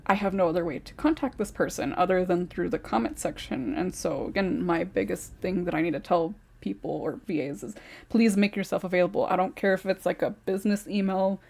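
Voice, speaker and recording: A woman's voice: lowish voice, brisk, gravelly, a little mellow. A woman who writes scripts, chatting casually on a podcast and rambling with lots of fillers.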